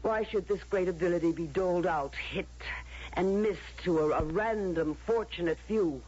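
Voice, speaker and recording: An actress playing a stern, coldly persuasive authority figure, lecturing in a deliberate, declamatory way in an old-time radio drama. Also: female voice, fairly low pitch, a little mellow, husky. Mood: insistent, persuasive. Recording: dull, lo-fi radio drama recording.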